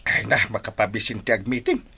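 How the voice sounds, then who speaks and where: A man's voice: on the low side, a little fast, gravelly, pushed and bright, slightly rough, full character voice. A man playing a bumbling, evasive village captain in an Ilocano comedy drama, hesitant and comically exaggerated, with an Ilocano accent.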